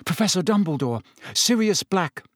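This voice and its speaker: A man's voice: high-pitched, lively intonation, normal speed, no echo, clean studio sound, pitched above the performer's own voice. A man voicing a desperate teenage schoolboy in an audiobook, urgent and protesting.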